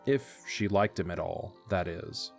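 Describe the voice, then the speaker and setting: A man narrating an audio drama in a calm, measured storytelling manner. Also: low-pitched, vocal fry. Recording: soft music behind.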